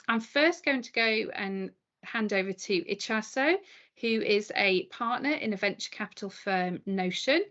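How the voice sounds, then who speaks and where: A woman hosts an online talk for students over a muffled video call. Her pitch is mid-range and her tone is friendly as she introduces the speakers. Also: a bit bright. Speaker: event host. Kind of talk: hosting an online school event.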